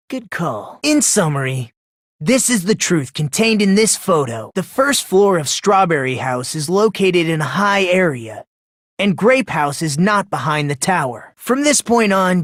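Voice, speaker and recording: An actor playing an enigmatic young man in a video game, polite but condescending and teasing, with theatrical pauses. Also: medium pitch, fairly bright tone, no room sound, clean studio sound. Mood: smug, condescending. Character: enigmatic young man, polite, smug, unsettlingly fixated on hope. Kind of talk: theatrical anime-style game voice acting.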